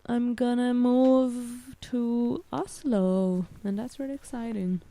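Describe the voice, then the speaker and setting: A woman's voice: fairly high pitch, steady tone, slow, dark tone. A woman in her twenties from Switzerland, a native Italian speaker, chatting as a podcast guest, hesitant with many fillers and restarts. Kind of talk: casual interview chat.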